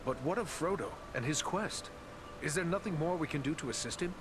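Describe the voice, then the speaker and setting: An actor playing an earnest, courteous human ranger hero in a fantasy game, speaking formally. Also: male voice, on the high side, lively intonation, brisk, background noise, lightly acted. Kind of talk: fantasy game cutscene acting.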